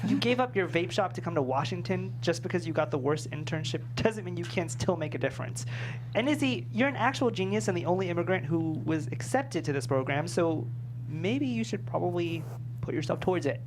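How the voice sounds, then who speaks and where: A woman's voice: on the low side, lively intonation, brisk, lightly acted. A woman playing an earnest, idealistic, slightly needy young intern with an eager, pleading manner in a comedy table read. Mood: exhorting. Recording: humming, clearly noisy room.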